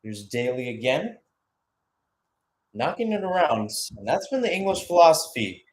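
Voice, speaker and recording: A man's voice: on the high side, sing-song, normal speed, slight background noise. A man doing live radio-style soccer commentary as the play-by-play announcer, narrating in short clipped phrases.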